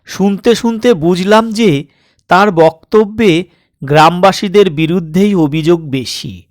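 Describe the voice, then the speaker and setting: Male audiobook narrator for suspense tales: higher-pitched, deliberate and dramatic, recorded very dry. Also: darkish tone, no room sound.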